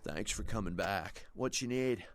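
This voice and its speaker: Low-voiced uneasy night shopkeeper. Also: male voice, animated, a little slow, background noise. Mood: casual. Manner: slangy, uneasy, conversational. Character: jittery, chatty male night-shift shopkeeper. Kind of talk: video game voice acting.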